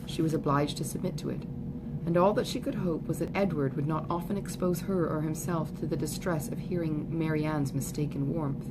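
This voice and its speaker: A woman reading a classic novel aloud in a measured, articulate way, as in an amateur audiobook. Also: low voice, steady tone, very noisy. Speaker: audiobook reader. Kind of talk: literary narration of a classic novel.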